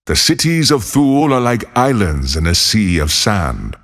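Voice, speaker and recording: Lawless ex-monk of the desert, video game, deep voice, expressive swings in pitch, measured, brooding, solitary. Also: male voice, exaggerated voice. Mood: weary, reflective. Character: solitary lawless desert wanderer, haunted male former monk. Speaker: video game character voice actor. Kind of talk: scripted game character voicelines.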